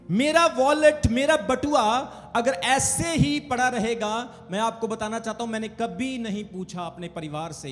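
A man's voice: extremely high pitch, long reverb tail. A man preaching a sermon as pastor, calling on the congregation to say amen. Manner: preaching with call-outs for amen.

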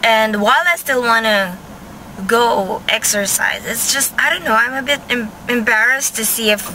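A young Filipino woman vlogging to the camera from her car, sounding sleepy and a bit hoarse, chatting in a meandering way and correcting herself as she goes. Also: a little high, normal speed, sharp tone, a little hiss or hum, rough recording. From Philippines. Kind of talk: casual vlog talk to camera. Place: inside a parked car. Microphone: handheld camera close to face.

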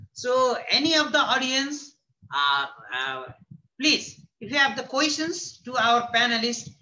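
A man in his thirties with a Nepali accent moderates an online panel as a teacher, speaking haltingly and repeating phrases for emphasis. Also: very high voice, pushed and bright. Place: indoors, plain-walled room. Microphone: computer mic on a video call. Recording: noisy video-call audio with clicks.

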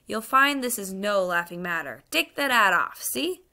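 Lady doing a bossy copper in a storybook, stern and pompous, forceful voice with some gravel. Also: fairly high pitch, sing-song, measured pace, voice pushed up, exaggerated voice. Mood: stern, officious. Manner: pompous, commanding. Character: stern, officious male London policeman. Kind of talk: dramatised children's storybook reading.

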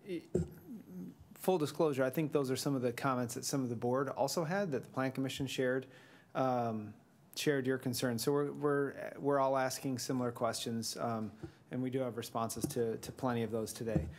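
A man's voice: mid-range voice, fairly even intonation, normal speed, a little hiss or hum. A man chairing a village board public hearing in a large council chamber, talking casually with some restarts.

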